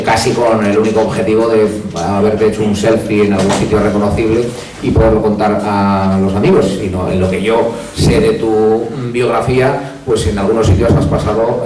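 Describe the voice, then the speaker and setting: A male moderator puts questions in a measured way, padding them with fillers. His low voice is caught from a distance in an echoey room. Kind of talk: book presentation talk.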